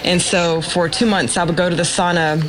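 A woman, an ultramarathon runner, talking candidly and relaxed in an interview clip. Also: on the low side, normal speed, a crowd in the background, poor audio.

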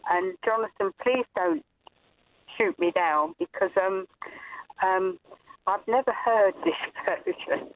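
A woman's voice: mid-range voice, slow, no echo. A woman calling in to a radio show, chatting in a hesitant, amused way. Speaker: caller. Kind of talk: casual phone chat. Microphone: phone. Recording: tinny dry phone line.

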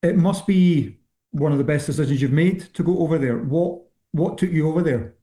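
Mellow-voiced male sports interviewer. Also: on the high side, moderate pace. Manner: direct, probing. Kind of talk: interview questions.

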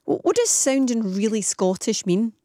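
English woman host, mellow dry voice. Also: a little high, sing-song, moderate pace, no room sound. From England. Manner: curious, conversational, reads listener messages. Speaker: radio host. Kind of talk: radio phone-in interview.

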